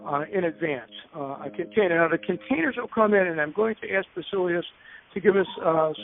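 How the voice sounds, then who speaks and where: A man presenting informally to business callers as he leads a slide presentation, speaking in a halting, hesitant way and often circling back to restate his points. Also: fairly high pitch. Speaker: company presenter leading a dealer conference call. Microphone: telephone. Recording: narrow, tinny phone conference line.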